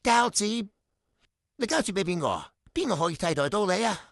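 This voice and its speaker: A woman's voice: mid-range voice, big pitch swings, fairly quick, no echo, studio quality. A woman playing a mean, emotional office boss in a radio drama, scolding and firing off accusatory questions. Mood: scornful, suspicious. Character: stingy, emotional, guilt-tripping office boss. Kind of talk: Cantonese workplace radio drama acting.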